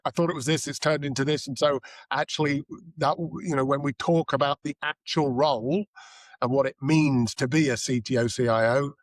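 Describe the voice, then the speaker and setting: A hoarse man with a cold co-hosting a tech podcast, chatting in a rambling, self-deprecating way. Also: medium pitch, no room sound. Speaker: podcast co-host. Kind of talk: casual podcast chat.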